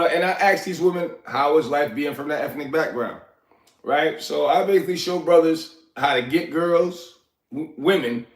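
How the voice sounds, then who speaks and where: A man in his forties from Liberia talks casually on a livestream from his apartment, drifting between topics and replying to chat. His voice sits a touch high, and he sounds as if he is still shaking off an illness. The room around him is echoey. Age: 40s. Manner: loose, rambling, answers chat by name. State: recovering from illness, coughing. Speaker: livestream host.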